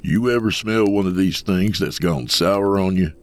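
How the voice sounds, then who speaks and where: A man voicing an ancient trickster coyote spirit as a gruff cowpoke, with a gravelly, folksy American drawl, blustering and mocking. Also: deep voice, normal speed, darkish tone. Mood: blustering, impatient.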